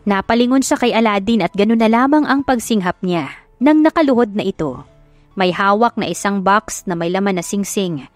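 A woman's voice: medium pitch, expressive, moderate pace, no echo. Filipino female audiobook narrator for scripted romance, dry sound, music bed. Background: background music.

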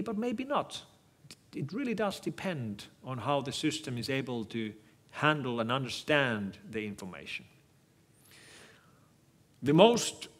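A middle-aged man whose native language is Finnish, giving a measured, thoughtful keynote talk on stage in a lecture hall, speaking into a headset mic. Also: mid-range voice, fairly bright tone. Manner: measured, thoughtful, frequent restarts. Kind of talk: conference keynote with audience Q&A.

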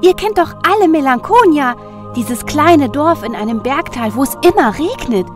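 A woman's voice: high voice, lively intonation, normal speed, soft background music. A woman playing a cheerful, childlike goddess in an audio drama, with girlish glee. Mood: gleeful. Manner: girlish, gleeful.

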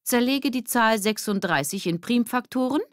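A woman's voice: on the high side, lively intonation, moderate pace, no room sound, clean studio sound, voice pushed up, natural voice. A woman voicing a stern, commanding female maths teacher in a children's audiobook. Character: strict female maths teacher giving orders.

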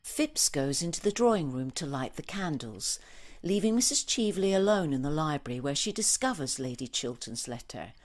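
Low-voiced woman narrating. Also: animated, normal speed. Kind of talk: scripted narration of a radio play.

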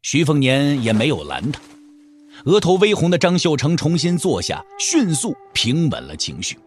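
A man's voice: a little high, huge pitch swings, normal speed, faint music behind. A man narrating a novel as a storyteller in a dramatic, scripted audio drama style.

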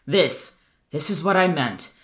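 A man's voice: on the high side, lively intonation, slow, fairly bright tone. A man playing a sarcastic, exasperated but protective young friend in a naturalistic audio drama. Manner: sardonic, scolding. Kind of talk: naturalistic audio fiction podcast acting.

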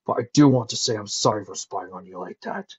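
A gentle, lovesick dragon from a storybook, played by a man. The delivery is subdued and earnest, carrying the sadness of an accursed creature. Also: fairly low pitch, brisk, husky, dull audio. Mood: wistful, sheepish.

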